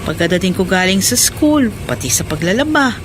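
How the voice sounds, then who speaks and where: An actress playing a kind, chatty and principled schoolteacher wife in a radio drama, speaking frankly and encouragingly. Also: female voice, medium pitch, very animated, moderate pace, slight background noise, natural voice. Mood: friendly, admiring. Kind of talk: Filipino radio drama acting.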